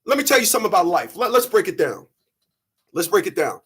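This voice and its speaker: A man in his thirties, sweaty after a run, giving an animated, preachy monologue to his channel's viewers. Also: sits high, quick pace, breathy edge. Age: 30s. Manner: animated, preachy, mocking quoted voices. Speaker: channel host. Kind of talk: casual monologue to camera.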